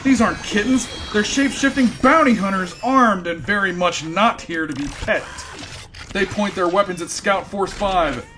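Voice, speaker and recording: A man's voice: sits high, normal speed, bright, forceful tone, slight background noise. A man playing a wry, theatrical narrator of a sci-fi comedy radio drama. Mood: mock-ominous.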